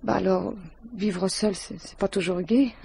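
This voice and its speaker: An actress playing a chatty, flustered widowed waitress in a French radio mystery, rambling, hesitant and deferential. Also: female voice, medium pitch, fairly even intonation, a little slow, gravelly, warm tone, breathy edge, full character voice. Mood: upset, hesitant.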